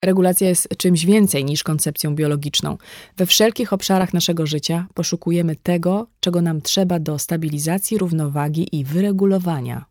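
A woman's voice: lowish voice, fairly quick, a little mellow, no room sound, clean studio sound. A woman reading a conversational non-fiction audiobook in a scripted narration style. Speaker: audiobook reader.

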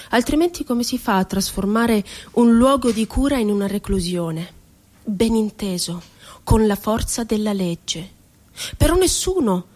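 A woman's voice: medium pitch, darkish tone, natural voice. An actress playing an ironic, wounded woman poet confined in an asylum, telling her story expressively in a radio theatre monologue. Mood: hushed, bitter irony, then agitated, sardonic.

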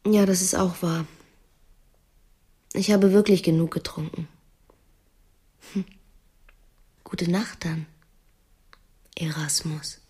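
An actress playing an unhappy, drinking, imperious wife in a radio drama, moving between hesitant murmurs and sharp commands. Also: female voice, lowish voice, steady tone, slow, long pauses, husky, heavily acted. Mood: hesitant, subdued. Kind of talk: radio play acting.

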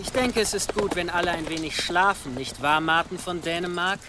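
A man's voice: sits high, normal speed, lightly acted. A man playing a polite, mild and persuasive servant in a medieval audio drama. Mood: mild, placating. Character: earnest, polite young monk and servant. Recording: outdoor ambience noise behind.

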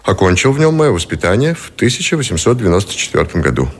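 An actor playing a captive middle-aged admiral who gives formal, measured, dignified testimony in a radio play. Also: male voice, lowish voice, sing-song. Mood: dignified, reflective.

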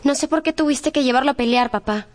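Actress as young daughter, radio drama, high voice, rapid speech, plaintive, questioning. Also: female voice, lightly acted. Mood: hurt reproach. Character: young daughter, upset and questioning her father.

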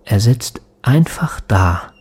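An actor playing a haunted man in a radio drama, remembering in halting, hushed, dreamlike fragments. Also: mid-range voice, animated, slightly rough. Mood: haunted, entranced. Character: haunted man recalling a strange stormy night. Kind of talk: slow poetic radio drama monologue. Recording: rain and thunder behind voice. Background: rain and thunderstorm.

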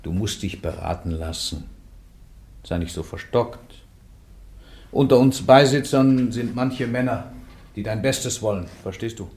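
An actor playing a canon-law doctor who coaxes the prisoner in an officious tone in a radio play. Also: male voice, lowish voice, measured pace, pauses often, darkish tone, a little hiss or hum. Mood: coaxing. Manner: coaxing yet officious. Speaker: examining cleric. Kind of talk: classic radio play acting.

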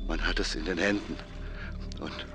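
An earnest low-voiced fisherman. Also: steady tone, music in the background. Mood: earnest, moved. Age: middle-aged. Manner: halting, deliberate, repetitive storytelling. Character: earnest fisherman and father, insistent, haunted witness. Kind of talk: radio drama monologue.

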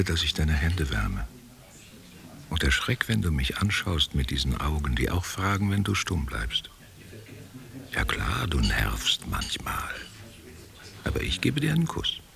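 A man playing a nostalgic, tender old man in a literary radio play, husky and gravelly, speaking intimately and reflectively. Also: extremely low pitch, big pitch swings, unhurried, long pauses, a bit bright, exaggerated voice. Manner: intimate, reflective, drifting between memory and direct address. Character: nostalgic old man, former only child, tender and wistful.

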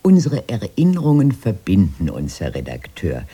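An old, sentimental sister in a radio drama. The voice is very husky and mellow, rising and falling freely. She rambles dreamily and wistfully through her memories. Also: a bass voice, wildly swooping pitch, moderate pace, heavily acted. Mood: dreamy, wistful. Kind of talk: radio play dialogue.

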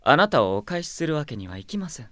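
An actor playing a smug, sinister young male mage in a radio drama, softly polite and taunting. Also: a little high, sing-song, fast talker, very noisy. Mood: coolly polite. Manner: soft polite speech laced with taunting.

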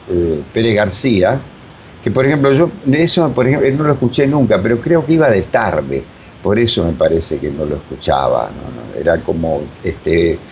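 A man in his seventies with a Rioplatense accent and a fairly low voice. He reminisces in a rambling way, full of digressions and filler phrases. The recording is dull, with faint rumbling noise. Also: darkish tone. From Uruguay. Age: 70s. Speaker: interview guest, voice technique teacher. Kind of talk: reminiscing interview talk.

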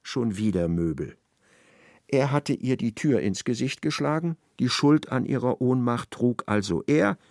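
A male narrator delivers literary prose for a radio play. His mid-pitched voice has a gravelly creak and lively, varied melody, captured very dry. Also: unhurried, dry recording. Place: dry studio.

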